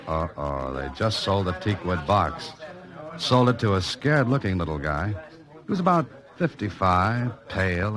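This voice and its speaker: An American actor playing a wry, cool novelist-sleuth in a 1940s radio drama, with dry, clipped delivery. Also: male voice, sits low. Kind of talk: 1940s radio drama acting and first-person narration. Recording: old radio recording with music behind.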